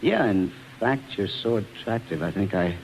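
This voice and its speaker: A man's voice: lowish voice, animated, moderate pace. Brash showman, swooping intonation, old radio. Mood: flirting, cocky. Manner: wisecracking, cocky. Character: brash, smooth-talking male show boss, teasing and bossy. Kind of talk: 1940s radio acting. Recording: noisy 1940s radio broadcast recording.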